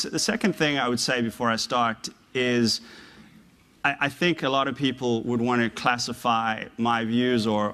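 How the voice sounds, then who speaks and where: A man in his thirties from South Africa, with a South African accent softened by years in America, giving a conversational, halting public talk to a university audience in a lecture room. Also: mid-range voice. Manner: conversational, halting, often repeating words. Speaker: guest speaker.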